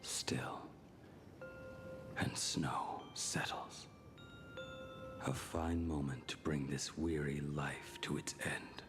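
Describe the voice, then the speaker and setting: An actor playing a weary, brooding man in a video game, speaking gravely in a breathy near-whisper. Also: very deep voice, steady tone, exaggerated voice. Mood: weary, resigned. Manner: slow, grave, measured. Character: weary, resigned man settling his past, terse and brooding. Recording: loud game music behind. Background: game music.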